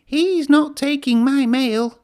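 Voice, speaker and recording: A man voicing a small, timid, peevish young tank engine in a storybook with a squeaky peep. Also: slow, darkish tone. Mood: indignant. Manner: squeaky, peeping.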